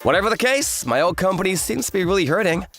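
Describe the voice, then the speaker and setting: Man acting earnest young male office worker, manga dub narration, easily flustered, very animated pitch, music behind. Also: fast. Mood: concerned. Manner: excitable, expressive.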